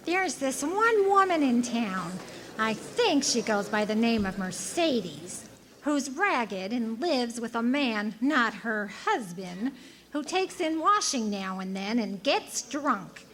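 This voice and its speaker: Woman playing a slow, swooping, disdainful townswoman. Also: fairly high pitch, sing-song, fairly bright tone, heavily acted. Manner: judgmental, deliberate. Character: disdainful, gossiping frontier townswoman giving testimony. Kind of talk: radio drama acting.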